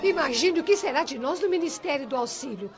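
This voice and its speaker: A woman's voice: high voice, lively intonation, a little fast. An actress playing an anxious, overburdened female aid worker in a radio drama. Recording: dull sound with music behind.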